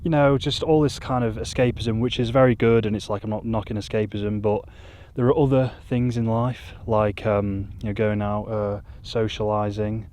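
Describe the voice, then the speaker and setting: A man, talking to camera in a rambling, self-deprecating monotone on a hilltop, into a mic with a furry windscreen. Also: lowish voice, moderate pace. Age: 20s. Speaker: YouTuber. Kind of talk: casual vlog talk to camera. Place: outdoors on a hilltop.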